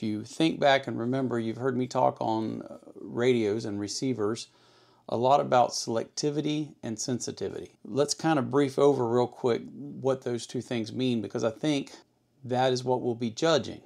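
A man's voice: medium pitch, fairly even intonation, moderate pace, creaky, darkish tone. A man hosting a hobby video, giving a casual hands-on demonstration and explaining things, often by asking and answering his own questions. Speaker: host of an electronics hobby channel.

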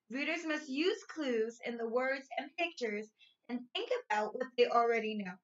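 A woman in her thirties, a primary school teacher reading and explaining to young children in an expressive, clearly enunciated way. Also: a little high, muffled recording. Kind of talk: read-aloud story and lesson for young children. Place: small furnished room at home, indoors.

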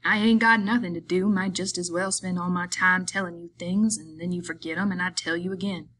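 A woman playing a small, irritable, weary male migrant worker in an audiobook, scolding in rough, rustic speech. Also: fairly low pitch, fairly even intonation, brisk, fairly bright tone, a little hiss or hum, natural voice, poor audio. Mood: exasperated.

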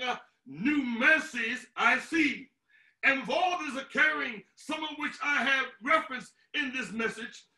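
A man in his sixties preaching a sermon as a pastor, emphatic and declamatory, with deliberate pauses, in a small room at home. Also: very high-pitched, bright, forceful tone. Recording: roomy echo, distant microphone.

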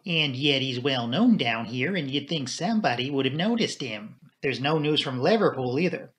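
A man's voice: medium pitch, lively intonation, normal speed, fairly bright tone, lightly acted. A man voicing a grave, fussy local police inspector in an audiobook, plain-spoken, blunt and stubborn. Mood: grave, troubled. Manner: blunt, matter-of-fact, stubborn.

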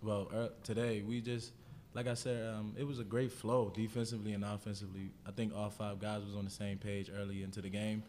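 A young male college basketball player giving laid-back, halting answers into a table mic at a press conference. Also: fairly low pitch, level tone, a little mellow, a little hiss or hum. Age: young adult.

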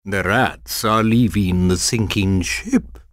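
A man's voice: fairly low pitch, takes it slowly, a bit bright, dry recording, studio quality. A man voicing a haughty, cold, scheming villain in a video game. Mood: cold threat.

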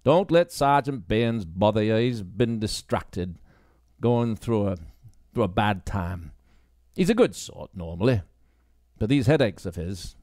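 A man's voice: fairly low pitch, animated, a little slow, a little mellow, dry recording, studio quality. A man voicing an elderly male constable, an easygoing and kindly old-timer in an audiobook. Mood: reassuring, matter-of-fact.

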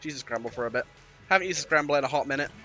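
Bright-voiced British man with a cold. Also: mid-range voice, very fast. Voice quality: slightly raspy. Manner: playful, mildly sweary. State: has a cold. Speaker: gaming YouTuber. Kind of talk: casual let's-play commentary. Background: game music.

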